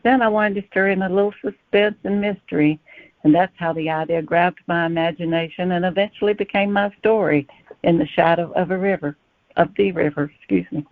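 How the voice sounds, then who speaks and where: A woman's voice: lowish voice, fairly even intonation, brisk, no room sound. A woman from Kentucky giving prepared, reflective answers as a guest author, in a storytelling style. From Kentucky, USA. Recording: thin phone-like sound.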